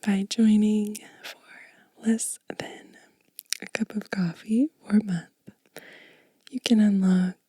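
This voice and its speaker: A woman softly whispering as an ASMR host, gentle and unhurried, with a breathy voice close to the microphone. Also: mid-range voice, dry recording. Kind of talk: soft-spoken whispered ASMR show and tell.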